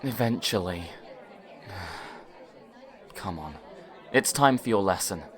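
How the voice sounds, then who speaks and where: A man's voice: sits low, animated, slow pace, a faint crowd. A man playing an overworked, dutiful young agent and mentor in an amateur anime-style audio drama. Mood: weary.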